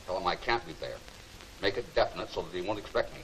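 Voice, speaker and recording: An actor playing a decisive, secretive gentleman crime-fighter, speaking in clipped commands in a 1940s film serial. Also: sits low, fast talker. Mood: brisk, resolute. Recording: noisy, crackly old film soundtrack.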